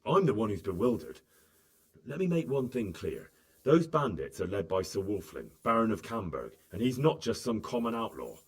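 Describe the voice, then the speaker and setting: An actor playing an earnest young squire in a video game, questioning people and pressing them for the truth. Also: male voice, lowish voice, very animated, moderate pace. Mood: probing. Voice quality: probing, insistent. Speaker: protagonist questioning witnesses. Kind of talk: video game cutscene acting.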